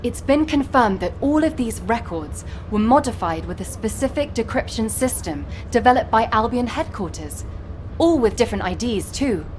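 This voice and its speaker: A woman's voice: on the high side, animated, moderate pace, darkish tone, slight background noise, lightly acted. A woman playing an earnest, playfully teasing young operations officer in a sci-fi video game, speaking articulately and expressively. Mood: focused, professional.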